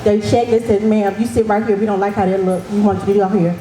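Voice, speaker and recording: A woman's voice: mid-range voice, little pitch movement, quick pace, mellow. A woman earnestly shares her story and urges the audience, repeating her key points. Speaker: cancer survivor speaking at an event. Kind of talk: personal testimony and advice to an audience. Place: event hall. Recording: echoey hall with faint noise.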